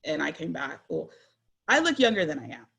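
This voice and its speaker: A woman's voice: deep voice, big pitch swings, a little fast, gravelly, a bit bright. A woman in her forties telling personal stories in a candid, earnest way, with frequent fillers and self-corrections. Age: 40s. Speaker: guest speaker.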